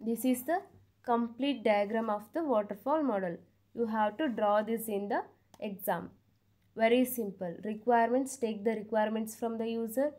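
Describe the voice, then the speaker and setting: A woman's voice: on the high side, darkish tone. A woman explaining study notes step by step as a teacher, repeating each point plainly, recorded on a phone over a notebook. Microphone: phone camera over a notebook.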